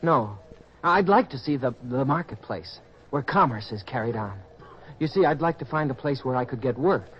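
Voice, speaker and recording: An actor playing a naive, eager young traveler in old-time radio style. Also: male voice, medium pitch, expressive, moderate pace, lightly acted. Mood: wide-eyed, polite. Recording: muffled radio-play audio, music and crowd behind.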